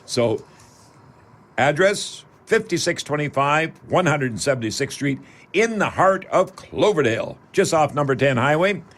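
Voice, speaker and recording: A Canadian man hosting a call-in radio show, chatting casually in a folksy, rambling, halting way. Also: fairly high pitch, animated, fairly bright tone. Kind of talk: casual live radio chat.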